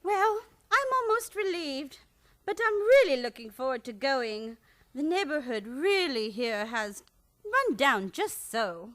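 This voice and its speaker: A woman's voice: high-pitched, very animated, unhurried, a bit bright, exaggerated voice. A woman acting a genteel, sweetly stubborn elderly aunt, prim and matter-of-fact, in a radio play comedy.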